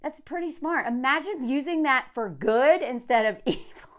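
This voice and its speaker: An American woman from the South talking to camera in a casual, emphatic rant, sardonic and quick to find things funny. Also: sits high, lively intonation, fairly bright tone. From southern United States. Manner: emphatic, sardonic, laughing often. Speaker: online content creator.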